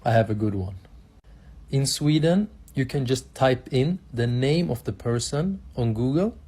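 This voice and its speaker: A man's voice: mid-range voice, animated, a little slow, warm tone, slightly rough. A man casually explaining something to camera, matter-of-fact. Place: Sweden.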